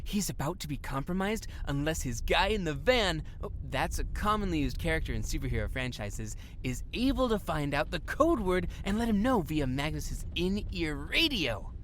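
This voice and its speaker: A woman playing an excitable fifteen-year-old boy comic geek in an audio drama, eager to explain and easily annoyed. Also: low-pitched, moderate pace, slight background noise. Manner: eager explaining, whiny when teased.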